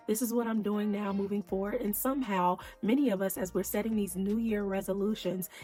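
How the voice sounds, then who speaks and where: Slightly hoarse woman psychologist, breathy. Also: mid-range voice, darkish tone. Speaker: clinical psychologist hosting her own channel. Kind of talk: casual talk to camera.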